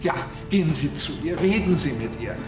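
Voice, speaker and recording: An actor playing a fussy, fretting tour guide, exasperated and agitated, in a radio drama. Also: male voice, fairly high pitch, animated, normal speed, reverberant, music in the background, natural voice. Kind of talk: German radio drama acting.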